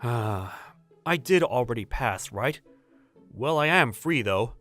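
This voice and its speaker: A man's voice: medium pitch, lively intonation, takes it slowly, a bit bright, soft background music. A young man playing a polite, cautious new employee, speaking dialogue and first-person narration in a manga dub. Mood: flustered, wary. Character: hesitant, polite young man, newly hired junior employee.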